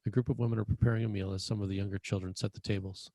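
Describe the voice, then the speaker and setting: A man's voice: lowish voice, little pitch movement, very fast, vocal fry, dark tone, no echo. A man narrating screenplay stage directions in a scripted read.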